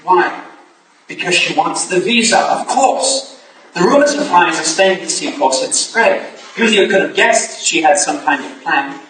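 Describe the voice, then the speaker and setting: A man playing an eager young Victorian gentleman in a staged mystery play reading, recorded in an echoey, noisy hall. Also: sits high, animated, unhurried, distant mic. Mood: reasoning.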